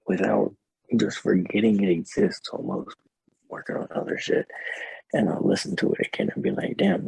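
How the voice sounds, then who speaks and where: A man with a naturally deep voice that sounds a bit muffled and slurred, chatting casually as an interview guest. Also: vocal fry, husky, no room sound. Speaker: rapper guest.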